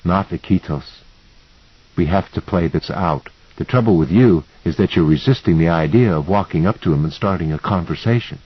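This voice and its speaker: A man plays a self-assured woman mentor in an audiobook novel. The delivery is instructive and matter-of-fact, low and husky, on a slightly muffled recording. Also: moderate pace, no room sound. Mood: firm, matter-of-fact. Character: calm, assured woman mentor, gently insistent.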